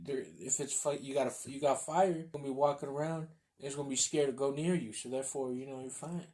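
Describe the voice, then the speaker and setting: A young man with a middling pitch talks as one of a podcast's hosts. His delivery is loose and conversational, full of slang and casual swearing. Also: steady tone, fast talker, a little mellow. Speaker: podcast host. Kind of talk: casual chat among friends.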